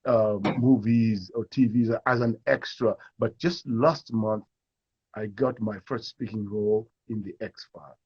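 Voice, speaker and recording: A man's voice: lowish voice, measured pace, husky, dull audio. A middle-aged man from Kenya, an actor, telling stories in an interview and acting out the voices of people he quotes. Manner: animated storyteller who acts out quoted voices. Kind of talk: storytelling in a live interview.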